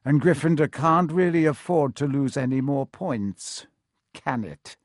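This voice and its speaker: A man voicing a sinister schoolmaster in a storybook reading, smooth, deliberate and sardonic. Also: medium pitch, no room sound, studio quality, lightly acted. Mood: silky menace. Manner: smooth, slow, deliberate menace.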